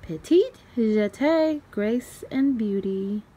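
A woman's voice: a little high, lively intonation, takes it slowly, dark tone, husky, full character voice, low-quality recording. Woman voicing a graceful, lilting gazelle ballet teacher. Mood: encouraging.

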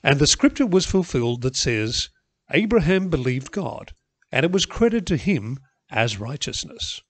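Guy reading bible verses, very lively pitch, dry close sound. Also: no room sound. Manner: expressive, measured reading. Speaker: Bible reader. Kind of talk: scripture reading.

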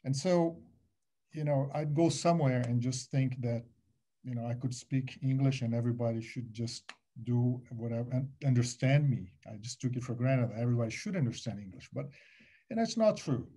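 Middle-aged man, warm, mellow tone, loose panel-talk delivery full of "you know", webcam audio. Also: mid-range voice, moderate pace. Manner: animated, gesturing, restarts and many fillers. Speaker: alumni panelist. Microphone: laptop webcam on a video call.

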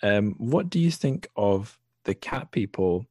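A man's voice: lowish voice, very animated, a little slow, dark tone, no room sound, clean studio sound. A man hosting a podcast, casually discussing a book in a reflective, analytical way. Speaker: podcast host.

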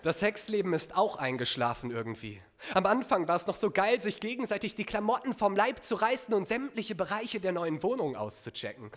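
A man's voice: sits high, sing-song, fairly quick, hardly any pauses, fairly bright tone. A young man performing comedic spoken word on stage at a microphone, with a theatrical delivery and sardonic asides. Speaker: poetry slam performer. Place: theatre stage before a live audience. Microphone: microphone on a stand.

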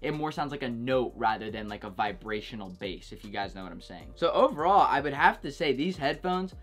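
A young man talking straight to camera from a couch in his bedroom, chatty and a bit jokey as he reviews a gadget. His voice sits at an ordinary pitch for a man, and faint music plays low behind him. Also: expressive, fairly quick, fairly bright tone. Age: young adult. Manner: chatty and jokey, lots of "go ahead" and "like". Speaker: tech reviewer, channel host. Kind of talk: casual product review vlog.

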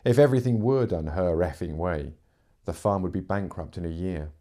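A man's voice: sits low, moderate pace, dark tone. A middle-aged man reading fiction aloud as a narrator, in dramatic audiobook style. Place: living room, seated in armchair.

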